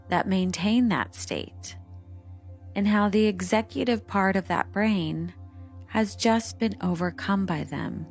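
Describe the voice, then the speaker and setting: A woman narrating a scripted guided meditation in a soothing, hypnotic delivery, with measured instructions and lingering pauses. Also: on the low side. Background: calming meditation music.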